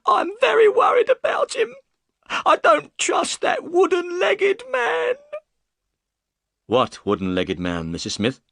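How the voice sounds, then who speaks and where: A man voicing an upset, tearful working woman in high falsetto for a storybook reading. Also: sing-song, slow, vocal fry, a bit bright, no room sound, clean studio sound, heavily acted. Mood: sobbing. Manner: high, strained falsetto, sobbing at times.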